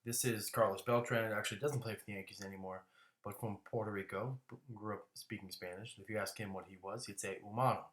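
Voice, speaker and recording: Lecturing man with a bright, echoey voice. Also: on the low side, steady tone, quick pace. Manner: casual, restarts words, coughs now and then. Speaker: teacher. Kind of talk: classroom lecture.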